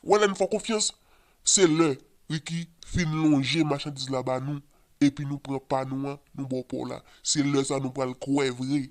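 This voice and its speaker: A man's voice: mid-range voice, fairly even intonation, normal speed, close to an everyday voice. A man with a Haitian accent playing a distrustful, taunting gangster in a comic cartoon. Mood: mocking distrust. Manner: taunting, threatening. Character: distrustful male gangster, menacing and mocking. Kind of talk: Creole comic cartoon voice acting.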